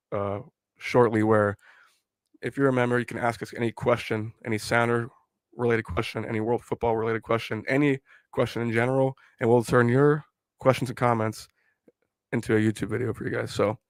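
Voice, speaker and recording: A hoarse young man who has lost his voice while sick, rambling opinions on a casual live stream. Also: mid-range voice, no echo. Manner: rambling, opinionated, filler-heavy. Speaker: fan channel host.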